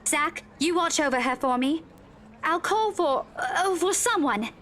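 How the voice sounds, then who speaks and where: A worried young woman in a dramatic story, acted out by a female narrator. Her voice is very high and lively in pitch, with an urgent, fussing manner. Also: measured pace, soft background music. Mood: anxious concern.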